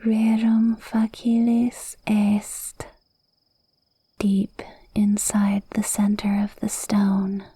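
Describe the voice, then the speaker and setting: A woman voicing a cute witch in an ASMR roleplay, softly whispering a hypnotic spell in a soothing, breathy way, close to the mic. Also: mid-range voice, completely flat delivery, dry recording, full character voice. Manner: slow, soothing, hypnotic, drawn-out phrasing. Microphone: close microphone, dry.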